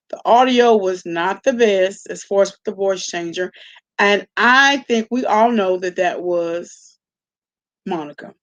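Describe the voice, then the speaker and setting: A woman's voice: mid-range voice, dull audio. A woman hosting an online commentary channel, chatting casually and informally in a gossipy way, with salty slang and frequent check-ins with the listener. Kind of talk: casual chatty reaction vlog.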